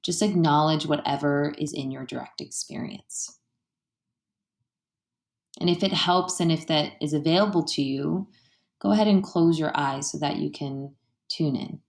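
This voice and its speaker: Low-voiced woman guiding a meditation, roomy echo. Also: gravelly. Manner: gentle, soothing, unhurried delivery with pauses. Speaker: meditation guide. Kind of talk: guided meditation. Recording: roomy, echoey sound.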